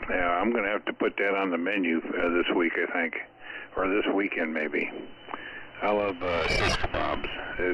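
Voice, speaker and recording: A man chatting casually as an amateur radio operator, in a rambling, halting way. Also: sits low. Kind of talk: casual ham radio chat. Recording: dull, faintly noisy amateur radio transmission.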